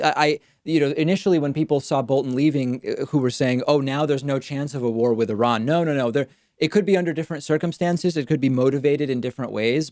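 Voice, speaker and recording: Man, call-in show host, from Argentina, brisk, direct, mid pitch, dry close studio sound. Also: darkish tone, dry recording. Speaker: talk show host taking calls. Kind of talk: casual call-in radio talk.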